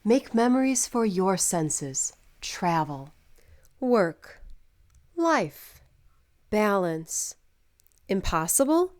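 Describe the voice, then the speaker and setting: A woman reading scripted commercial and promo narration with a polished, clearly articulated announcer delivery. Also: medium pitch, big pitch swings, very slow, long pauses. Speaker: voice-over artist.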